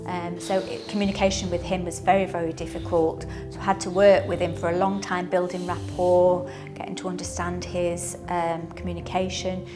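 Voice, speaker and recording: Halting, even-toned woman with low voice. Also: music in the background. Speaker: witness intermediary. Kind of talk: interview talk about own work.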